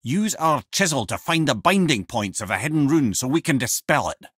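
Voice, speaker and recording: A Scottish actor voicing a witty, talkative old male sage in a video game, with a husky voice and a wry storyteller's manner. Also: mid-range voice, expressive, normal speed, fairly bright tone, no echo, clean studio sound. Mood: explaining.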